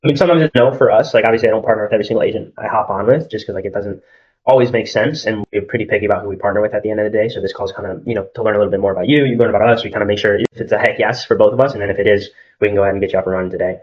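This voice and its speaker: Fast-talking low-voiced salesman on a call. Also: steady tone, dull audio. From Arizona. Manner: casual, lots of "like" and "at the end of the day". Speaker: marketing agency salesman.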